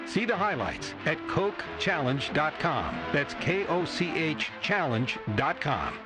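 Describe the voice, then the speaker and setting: A man's voice: on the high side, very animated, slow pace. A man reading a scripted corporate radio advert as an announcer. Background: music bed.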